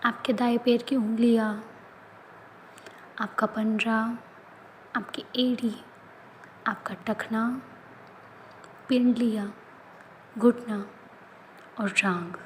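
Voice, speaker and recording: A woman leading a guided yoga nidra relaxation, speaking in soft, often whispered instructions. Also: on the high side, steady tone, takes it slowly, pauses often, slight background noise, poor audio. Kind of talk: guided meditation.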